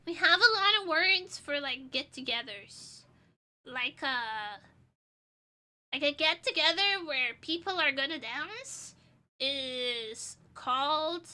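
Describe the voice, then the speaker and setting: A woman's voice: high-pitched, sharp tone. A young woman streamer whose first language is Portuguese, chatting casually while tipsy, giggling and rambling with crude jokes. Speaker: vtuber streamer.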